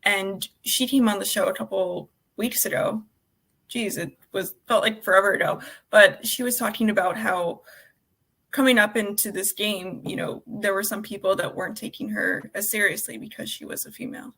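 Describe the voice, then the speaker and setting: Woman co-host, gravelly bright voice, chatty. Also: medium pitch, steady tone, moderate pace. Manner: chatty, asks long questions. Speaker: podcast co-host. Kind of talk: interview question.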